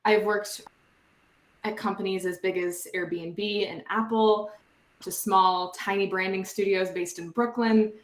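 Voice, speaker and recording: A woman with a mid-pitched voice speaking over a video call from a roomy, echoey space. She sounds upbeat and friendly and talks in a chatty way. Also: steady tone, a little slow. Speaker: panelist. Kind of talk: panel introduction. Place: home room with a brick wall.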